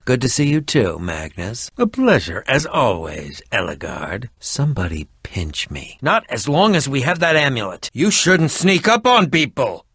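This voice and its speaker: An actor playing an eccentric middle-aged schemer in a video game, with a dramatic, haughty and defensive manner. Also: male voice, mid-range voice, a bit bright, husky, no room sound, studio quality, heavily acted. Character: eccentric theatrical middle-aged male potion-brewing schemer. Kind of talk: video game character dialogue.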